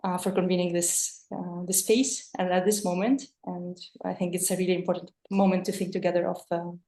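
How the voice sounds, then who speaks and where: A woman's voice: lowish voice, fairly even intonation, fairly quick, dark tone. A young adult woman from Lebanon introducing herself as a panelist on an online call, fluent and friendly with some fillers. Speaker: panelist, peacebuilding coordinator. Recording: echoey room on a call.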